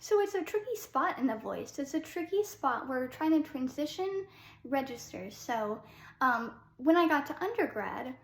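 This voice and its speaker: A young adult woman, a classical soprano, giving a relaxed vocal lesson in a chatty way with many ums. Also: high voice, moderate pace, echoey room.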